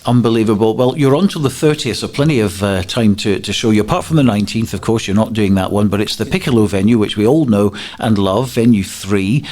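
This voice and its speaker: A man's voice: lowish voice, fairly quick, hardly any pauses, a little mellow. A man hosting a festival radio show, interviewing guests and plugging show times. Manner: friendly, plugs show details, some fillers. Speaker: radio host.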